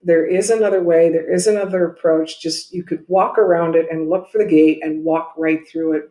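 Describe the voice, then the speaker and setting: A woman's voice: deep voice, darkish tone. A woman giving a spiritual reading and advice over a video call, speaking in an encouraging, reassuring manner. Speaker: guest intuitive medium giving a reading. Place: indoor room, video call. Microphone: video call microphone. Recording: roomy echoing video call.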